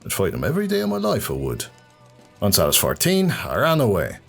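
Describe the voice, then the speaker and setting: A man playing a surly, foul-mouthed drunken brawler with an Irish accent and a gruff, sardonic, husky delivery in a fan fiction audio drama. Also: on the low side, huge pitch swings, fairly quick, a bit bright, soft background music, heavily acted. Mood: guarded, bitter. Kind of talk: fan fiction audio reading, character acting.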